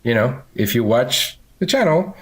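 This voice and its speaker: A man with a Venezuelan accent, a guest in a casual podcast interview, talking in an animated way with many fillers and restarts. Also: medium pitch, moderate pace, a loud crowd. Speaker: podcast guest, instructional designer.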